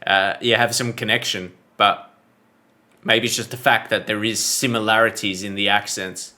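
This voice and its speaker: Bright-voiced Australian man reacting casually. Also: on the low side, moderate pace. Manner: relaxed, hesitant, with many fillers. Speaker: reaction video host.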